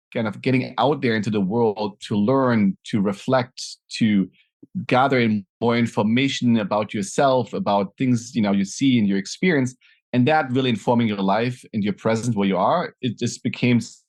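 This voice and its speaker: A middle-aged man from Germany with a German accent, speaking thoughtfully as an interview guest with many fillers and restarts. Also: medium pitch. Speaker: guest, nonprofit founder. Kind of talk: conversational interview.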